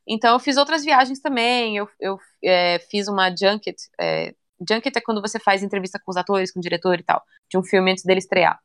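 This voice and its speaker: A young woman chatting casually in an interview, relaxed and talkative, often ending phrases with little tag questions. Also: mid-range voice, brisk, few pauses. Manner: chatty, relaxed, many fillers like "né" and "sabe".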